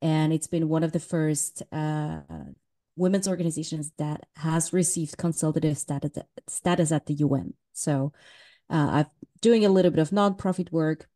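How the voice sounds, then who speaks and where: A woman's voice: low-pitched, steady tone, normal speed, warm tone. A woman raised in Switzerland, talking candidly as a podcast guest and laughing easily. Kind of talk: casual interview talk.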